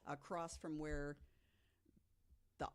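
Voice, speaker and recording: A woman telling ghost stories in a casual, chatty way as a podcast guest, quick to laugh. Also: low-pitched, level tone. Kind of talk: casual storytelling chat.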